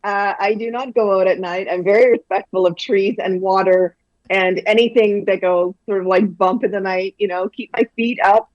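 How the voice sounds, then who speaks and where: A woman's voice: mid-range voice, steady tone, normal speed, darkish tone, no room sound, muffled recording. A woman from Guyana, a children's author, chatting animatedly in an interview with lots of fillers and restarts. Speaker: children's book author, guest. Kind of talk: casual interview chat.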